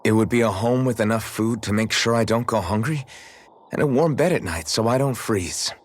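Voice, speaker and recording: An actor playing an easygoing, modest young swordsman with a gently teasing, casual way of talking in a video game cutscene. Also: on the low side, normal speed, husky. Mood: calm, reflective.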